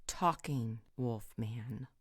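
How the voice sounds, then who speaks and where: Lady doing a bossy sharp-tongued vampire, low breathy voice, sardonic, takes her time, dry close roleplay audio. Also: no room sound, heavily acted. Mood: haughty, threatening. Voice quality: husky, breathy. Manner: commanding, deliberate, theatrical.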